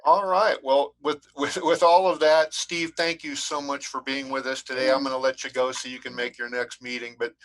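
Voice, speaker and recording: A man's voice: medium pitch, flat delivery, moderate pace, few pauses, a bit bright, low-quality recording. A man hosting an online coffee meetup over video call, thanking the guest and closing politely.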